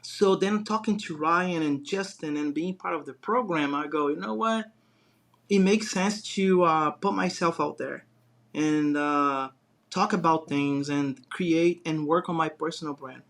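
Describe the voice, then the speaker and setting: Brazilian guy chatting on a podcast, kinda high voice, restarts a lot. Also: unhurried, vocal fry, fairly bright tone. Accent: Brazilian Portuguese. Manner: rambling, many fillers and restarts. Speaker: guest, design business owner. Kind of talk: casual interview chat.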